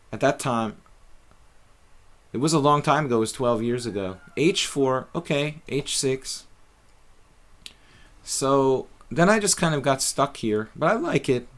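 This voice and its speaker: A middle-aged man casually commentating his own chess games on a live stream, thinking aloud with many fillers. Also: medium pitch.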